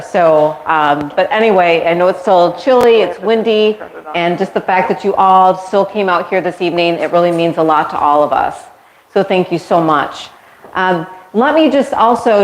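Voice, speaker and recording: A woman speaking as president and CEO, effusive and grateful as she thanks guests at an indoor event. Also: medium pitch. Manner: effusive and grateful, bursts of quick talk. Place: indoor museum event space. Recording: roomy echo, faint noise.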